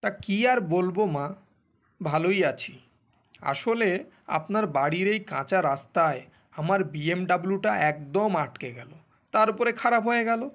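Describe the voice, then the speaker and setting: পুরুষ শিল্পীর গলায় দাম্ভিক, অলস বড়াইবাজ স্বামী. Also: male voice, sits high, lively intonation, normal speed, fairly bright tone. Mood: bragging. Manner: bragging, pompous. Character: boastful lazy husband from a faded landlord family. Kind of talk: Bengali cartoon voice acting.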